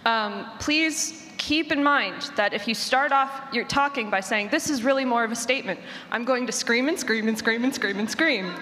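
A woman moderating a panel in a brisk, wry manner. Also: fairly high pitch, bright, forceful tone. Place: large indoor convention panel room. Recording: reverberant noisy conference room.

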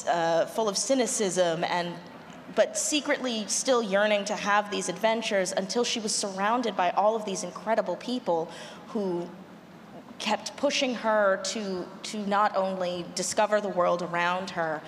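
Lowish-voiced woman in a reverberant hall. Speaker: audio drama creator, voice actor, panelist. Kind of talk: unscripted panel discussion. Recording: noisy echoey hall.

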